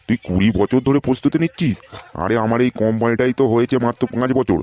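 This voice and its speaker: A man's voice: mid-range voice, quick pace, darkish tone, close to an everyday voice. A man voicing an irritable, sarcastic boss in a comedy cartoon, scolding and dismissive. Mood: angry, exasperated. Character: irritable, sarcastic male boss interviewing job seekers.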